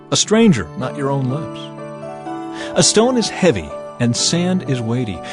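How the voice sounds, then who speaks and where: A man narrating scripture as a dramatized reading, with a theatrical, emphatic and deliberate delivery over background music. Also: medium pitch. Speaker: Bible narrator.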